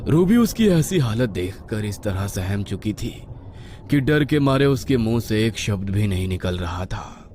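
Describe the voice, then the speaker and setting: A man's voice: lowish voice, big pitch swings, unhurried, a little mellow, a little hiss or hum. A man reading a scripted horror story as a dramatic narrator. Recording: music behind the voice.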